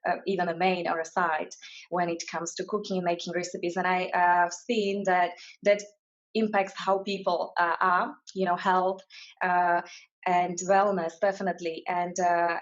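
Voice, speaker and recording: A woman with a Slovak accent speaks in a lowish, even voice. She pauses often with fillers as she answers interview questions. The sound is dull and lo-fi. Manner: thoughtful, many fillers and restarts. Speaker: guest, mindfulness and career coach.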